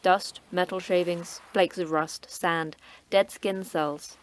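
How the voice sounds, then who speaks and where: A terse, sardonic station manager in a sci-fi horror audio drama, curt and cryptic. Her voice is low for a woman, with faint background noise under it. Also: fairly even intonation, takes it slowly. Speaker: station manager of a secret facility.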